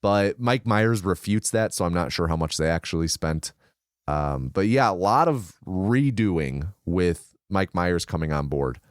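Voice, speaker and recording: A man with a lowish, smooth and resonant voice talks through movie trivia on a podcast, recorded dry and close. He explains in a relaxed, chatty way, with plenty of "like" and "um" between points. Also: a little slow, dry recording. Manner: informative, shares researched trivia with frequent fillers. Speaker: podcast co-host. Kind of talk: casual film podcast chat.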